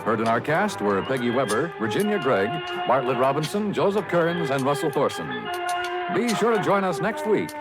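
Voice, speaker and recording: A man's voice: medium pitch, lively intonation, moderate pace. A man announcing in formal 1950s radio style, reading scripted programme credits in a declamatory manner. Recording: vintage radio recording with music. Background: loud music.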